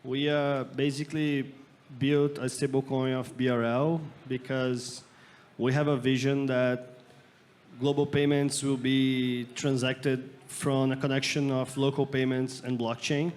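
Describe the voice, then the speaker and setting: A man from Brazil explains his company on a conference panel. His voice sits at a middle pitch and barely rises or falls. He keeps a steady, explanatory delivery. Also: measured pace. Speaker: panelist, startup founder. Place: conference stage.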